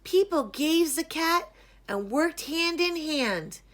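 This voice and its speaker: Bright, expressive woman reading a children's story. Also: sits high, slow. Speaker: storybook reader. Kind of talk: scripted children's story narration, read aloud.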